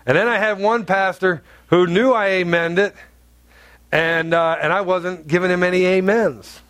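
Blunt, joking pastor from North Carolina. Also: male voice, fairly even intonation, normal speed, a bit bright, no room sound. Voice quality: deep, carrying voice. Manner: blunt, humorous, chatty preaching with asides. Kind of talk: sermon. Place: church sanctuary.

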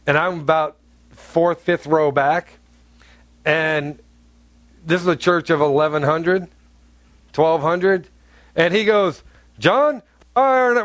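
A man from North Carolina preaching a sermon in a church, blunt and humorous, with chatty asides to the congregation. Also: no echo. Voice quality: deep, carrying voice. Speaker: pastor. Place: church sanctuary.

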